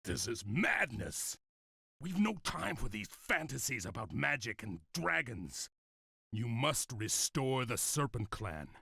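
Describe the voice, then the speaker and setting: Husky, ruthless clan usurper. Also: male voice, medium pitch, very animated, takes it slowly, no room sound, clean studio sound, exaggerated voice. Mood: exasperated. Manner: forceful, scornful, commanding. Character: ambitious, scornful male clan usurper, impatient and ruthless. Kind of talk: video game cutscene acting.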